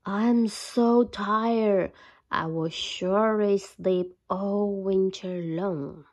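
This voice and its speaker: A woman voicing a sleepy, lazy male bear in a picture-book read-aloud, speaking in a slow, drowsy, yawning drawl. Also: medium pitch, fairly even intonation, pitched below the performer's own voice.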